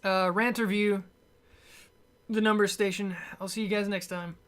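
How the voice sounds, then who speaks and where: A woman's voice: mid-range voice, flat delivery, normal speed. Blunt woman rambling through a movie rant. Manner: blunt, opinionated. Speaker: movie reviewer. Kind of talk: casual rambling film review rant.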